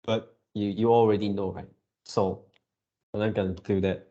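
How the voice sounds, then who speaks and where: A man hosting a casual fan reaction video, gushing excitably in halting, drawn-out phrases. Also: fairly low pitch, dull audio.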